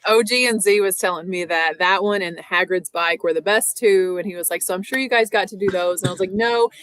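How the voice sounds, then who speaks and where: A woman's voice: mid-range voice, a little fast, a bit bright, smooth, dry recording. Chatty woman co-host on a dry mic. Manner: chatty, many fillers like "like". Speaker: podcast co-host. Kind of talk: casual chat.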